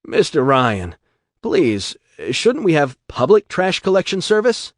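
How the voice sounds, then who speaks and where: A desperate shopkeeper begs in a high, strained voice that keeps climbing, as a man reads him out. Each plea comes louder than the last. The sound is close and dry. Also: sing-song, a little slow, dry recording. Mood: pleading. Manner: high, strained, frantic pleading. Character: desperate middle-aged male shopkeeper, pleading.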